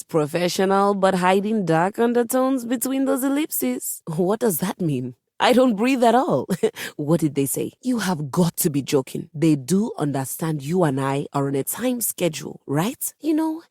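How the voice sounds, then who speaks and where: A woman's voice: medium pitch, a little mellow, dry recording, clean studio sound. A woman voicing a vain, refined piano diva in a video game, speaking in a grandiose, pompous way with precise wording. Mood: haughty, poised. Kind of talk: theatrical video game character acting.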